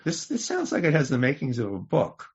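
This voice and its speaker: Male interviewer, medium pitch, measured and conversational, prompting a guest on a slightly lo-fi podcast recording. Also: huge pitch swings, slightly rough. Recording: slightly dull, lo-fi audio.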